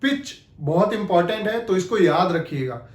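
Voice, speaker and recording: A man's voice: sits high, expressive, normal speed, echoey room. A man giving an instructional talk as an acting coach, explaining emphatically. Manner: explanatory, emphatic, repeats key phrases to demonstrate.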